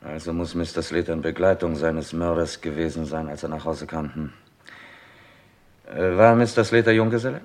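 Detective chief, deep bass register, brisk questioning, dry scepticism, radio crime drama. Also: male voice. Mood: businesslike. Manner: terse, businesslike, sceptical. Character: brisk, probing Scotland Yard police chief.

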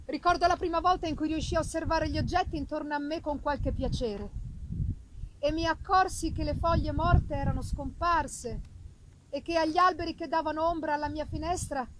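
A woman giving a theatrical, deliberate and expressive first-person reading of a literary story. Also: high voice. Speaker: reader. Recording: noisy live festival recording.

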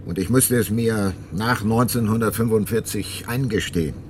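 An actor playing an ageing, remorseful detective and former prosecutor in a radio drama, narrating and talking in a measured, reflective way. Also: male voice, on the low side, slight background noise, close to an everyday voice. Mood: confessional. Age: older. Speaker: narrator and lead character.

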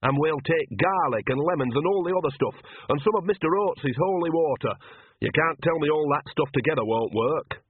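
A man's voice: on the high side, lively intonation, brisk, slightly rough, narrow, tinny audio, pitched above the performer's own voice. A man voicing a bossy, shrewd older woman witch who talks in a blunt, colloquial, cajoling way. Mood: scheming.

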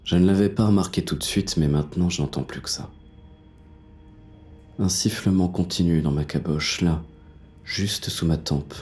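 Homme jouant un survivant épuisé, voix très grave. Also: male voice, very deep voice, a little mellow. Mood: anxious, dazed. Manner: slow, halting, dramatic delivery in short fragments. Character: battered exhausted male survivor, haunted, waking in darkness. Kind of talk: dramatic first-person audio fiction narration.

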